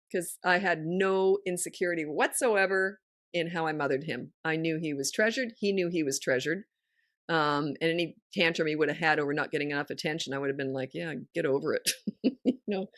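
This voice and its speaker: A woman's voice: sits low, dry recording, clean studio sound. A middle-aged woman, a parenting coach hosting a podcast, giving frank advice in a blunt, no-nonsense way. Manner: blunt, no-nonsense, laughs often. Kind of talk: casual advice chat.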